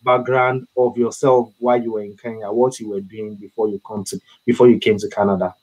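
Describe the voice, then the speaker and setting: A man hosting an online interview, speaking haltingly with frequent fillers and repeated words. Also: lowish voice, little pitch movement, darkish tone, rough recording. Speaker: host.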